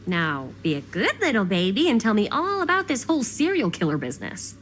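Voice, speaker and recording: Game role: dramatic young American woman, high and very animated, sarcastic, inquisitive. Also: brisk, slight background noise. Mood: eager, teasing. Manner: theatrical, sardonic, casual slang. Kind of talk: naturalistic video game acting.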